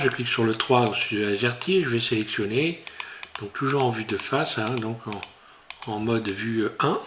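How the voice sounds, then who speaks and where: A man teaching a software tutorial while he works on screen, talking in a hesitant, casual way full of fillers and restarts. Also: mid-range voice, a little hiss or hum. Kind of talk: screen-recorded tutorial narration.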